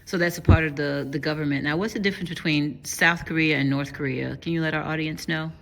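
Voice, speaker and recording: Woman, interview host, low voice, curious, direct questions. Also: steady tone, fast.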